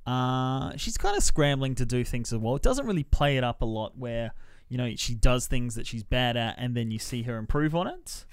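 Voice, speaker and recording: Man with a clear, dry-sounding voice, chatting. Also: mid-range voice, expressive, normal speed, no echo. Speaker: podcast film reviewer. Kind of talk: casual review chat.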